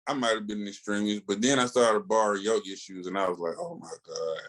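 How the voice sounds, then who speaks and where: Low-voiced American man chatting enthusiastically. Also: steady tone, quick pace, a bit bright. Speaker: comics podcast co-host. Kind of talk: casual fan chat.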